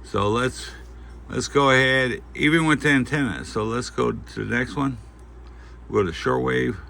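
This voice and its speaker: A man giving a casual, unscripted product demonstration, talking in a halting, folksy way as he thinks aloud. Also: mid-range voice, gravelly, pushed and bright. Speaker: host of a gear review channel. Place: indoors at home at night. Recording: faint background noise.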